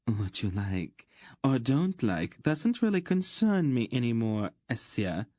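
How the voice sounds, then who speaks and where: A man's voice: lowish voice, lively intonation, slow pace, warm tone, no echo, studio quality, voice pushed up. A man voicing a seductive, cruel and bored queen in a purring, languid manner for a story. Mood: cold, bored.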